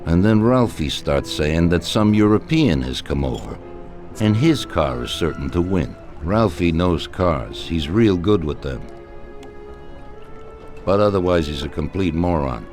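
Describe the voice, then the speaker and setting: An actor playing an older male mob boss, shrewd and commanding, who gives orders in a slow, deliberate way in a video game cutscene. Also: low voice, animated, a little mellow. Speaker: crime boss. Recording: clear music bed behind voice. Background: music.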